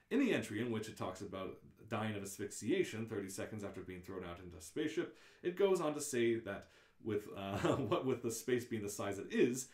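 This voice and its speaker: A man narrating a radio script in a casual live read-through, tripping over words and tossing in his own asides. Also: fairly low pitch. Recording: echoey room.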